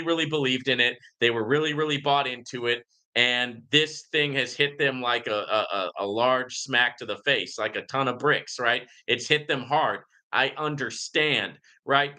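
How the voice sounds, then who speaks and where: A man hosting a live Q&A in a casual, conversational and emphatic way, reading comments and often checking in with a quick "right?". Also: medium pitch, pushed and bright. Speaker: livestream host answering viewer questions.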